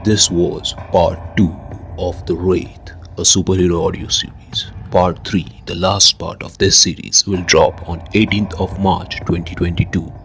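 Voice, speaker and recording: A man's voice: sits low, little pitch movement, measured pace, gravelly, a bit bright, slight background noise, low-quality recording. A man hosting a podcast, reading scripted credits and announcements. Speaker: podcast host.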